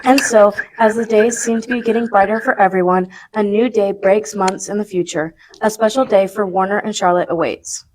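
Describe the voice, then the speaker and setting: A woman's voice: medium pitch, fairly even intonation, moderate pace, hardly any pauses, a little mellow, low-quality recording. A woman reading scripted narration as the narrator of a radio play.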